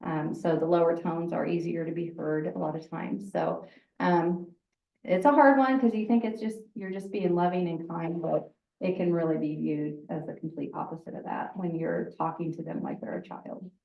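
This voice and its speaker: A woman with a fairly low voice leads a relaxed workshop, talking loudly and easily with frequent laughter. She sounds a little distant from the microphone, in an echoey room, and the sound is slightly dull. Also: normal speed, vocal fry. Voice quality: lower voice. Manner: loud, chatty, laughs often. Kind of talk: informal workshop talk.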